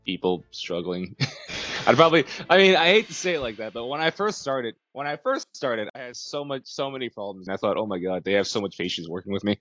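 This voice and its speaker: Young American guy, casual interviewee, quick and loose, lowish voice, muffled lo-fi sound. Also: big pitch swings, a bit bright, a little hiss or hum. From United States. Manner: laid-back, chuckles often, hedges with "like". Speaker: language program student.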